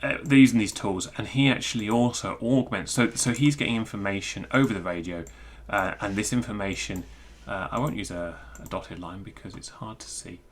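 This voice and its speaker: A man giving an informal technical screencast walkthrough, thinking aloud in a halting, self-interrupting way. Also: on the low side, slight background noise. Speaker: presenter explaining technical material.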